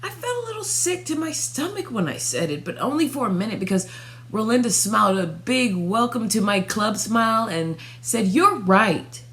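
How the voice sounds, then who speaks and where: A young woman reading a children's storybook aloud, narrating expressively in the first person with dramatic pauses. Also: on the high side, very animated, a bit bright, a little hiss or hum. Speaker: storybook reader. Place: small furnished room at home.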